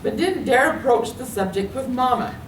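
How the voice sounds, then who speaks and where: A woman reading her own memoir aloud at a library event, telling it with lively storytelling. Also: sits high, normal speed, slight background noise. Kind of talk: literary reading. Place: library meeting room. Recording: echoey room, distant mic.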